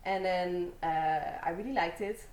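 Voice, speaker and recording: A woman's voice: lowish voice, measured pace. Young woman from the Netherlands, guest fighter, easygoing rambling talk, roomy echo, faint background hum. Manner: relaxed, rambling storytelling with many fillers. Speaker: guest, Muay Thai fighter. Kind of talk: casual interview answers.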